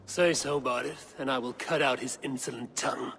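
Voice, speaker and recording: A man playing a steely, loyal Viking warrior in a video game, terse, commanding and measured in manner. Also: medium pitch, steady tone, creaky, over music, natural voice. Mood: stern.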